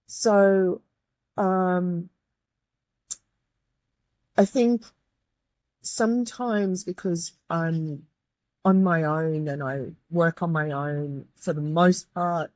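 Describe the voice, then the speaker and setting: Croaky low-voiced woman. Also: drawn-out words, long pauses, darkish tone, dry recording. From Australia. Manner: earnest, grateful, with many restarts. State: croaky, tired voice. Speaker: guest, medium and tutor. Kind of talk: reflective interview talk.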